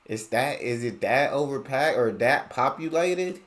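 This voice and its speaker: A man's voice: medium pitch, animated, unhurried, fairly bright tone. An American man giving casual, colloquial commentary as a reaction host, repeating and rephrasing his points. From United States.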